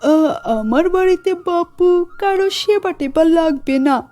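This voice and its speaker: A woman's voice: very high-pitched, measured pace, warm tone, faint music behind. A woman voicing a stubborn, grumpy older Bangladeshi village man in a children's cartoon, with rustic, colloquial speech. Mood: irritated, dismissive.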